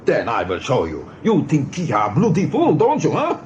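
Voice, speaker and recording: A brash, excitable male bird in a radio play. He talks in blunt broken English with a scornful edge, and his pitch leaps wildly. There is faint noise behind him. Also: moderate pace, lo-fi sound. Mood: indignant, scornful. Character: brash, excitable male bird who scolds silly rabbits.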